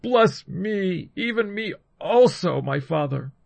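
A man's voice: sits high, big pitch swings, a little slow, a bit bright, breathy edge, no echo, pitched above the performer's own voice, heavily acted. A man voicing a wronged elder son, a bitter hunter pleading impassionedly in a scripture story.

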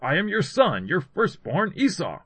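A man's voice: high-pitched, wildly swooping pitch, a little slow, fairly bright tone, breathy edge, no echo, voice pushed up, exaggerated voice. A man voicing a wronged elder son, a bitter hunter pleading impassionedly in a scripture story. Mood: eager.

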